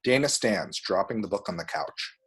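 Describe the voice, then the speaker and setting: A man's voice: on the low side, animated, normal speed, a bit bright, slightly rough. A man reading screenplay action descriptions as scripted narration at a table read.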